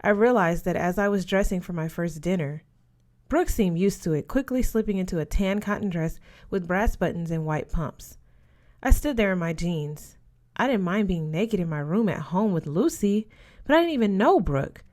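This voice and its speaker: Lower-pitched woman reading a story aloud. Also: lively intonation, normal speed, darkish tone. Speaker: audiobook reader. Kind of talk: scripted narration of a young adult novel read aloud.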